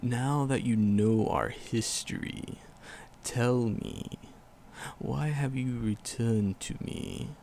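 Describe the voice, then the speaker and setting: A man playing an ancient, tender and sorrowful forest demon in an ASMR roleplay, with a gravelly voice and solemn, archaic storytelling. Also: medium pitch, takes it slowly, dark tone, a little hiss or hum. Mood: tender, protective. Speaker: voice actor in audio roleplay.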